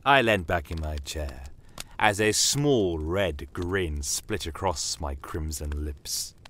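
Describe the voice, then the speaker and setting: Non-human commander for fantasy audio drama, male actor: very slow, measured, courteous menace, shrewd and bitter. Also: deep voice, animated, a bit bright, slight background noise, exaggerated voice. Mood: measured storytelling. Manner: measured, theatrical, pointed rhetorical questions. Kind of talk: dramatic first-person audio story narration. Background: battle aftermath ambience.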